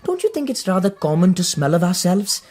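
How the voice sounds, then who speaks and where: A woman's voice: on the low side, big pitch swings, brisk. An actress playing a vain, eager young male prince who talks earnestly about his fine clothes and scent. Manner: earnest, self-admiring, dark husky tone.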